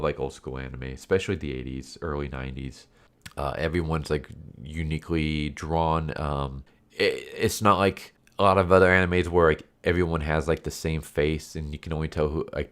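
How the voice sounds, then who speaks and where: A man with an extremely deep voice talks casually to his viewers as an online reviewer. He sounds congested and nasal, as if his nose is blocked by a cold. His delivery is unscripted and rambling, often repeating and rephrasing his points. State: sick with a stuffed nose and cough. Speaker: YouTube anime movie reviewer and channel host.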